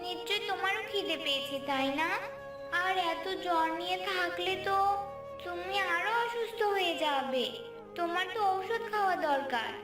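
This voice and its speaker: A woman's voice: very high-pitched, lively intonation, normal speed. A woman voicing an earnest, anxious little village girl in a cartoon fairy tale, innocent and pleading. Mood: worried. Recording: roomy, distant, loud music bed.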